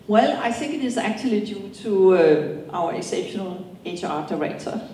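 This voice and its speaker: A middle-aged woman with a Danish accent, answering interview questions on stage and often pausing on ums and restarts. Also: mid-range voice, animated, mellow, slight background noise. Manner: hesitant, many ums and restarts. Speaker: company co-founder, guest. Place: event hall. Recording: echoey hall from far off.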